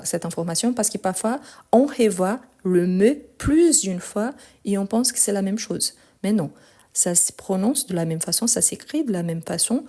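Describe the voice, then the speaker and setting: A woman's voice: medium pitch, mellow. A woman teaching grammar in a live lesson, didactic and insistent, repeating key points. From France and Brazil. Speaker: French teacher.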